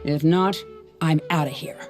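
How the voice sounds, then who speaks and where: A woman playing a proud, resentful researcher in an anime game, sarcastic and sharp-tongued. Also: low-pitched, fairly even intonation, a little slow. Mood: curt. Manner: sarcastic, haughty. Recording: music clearly behind voice.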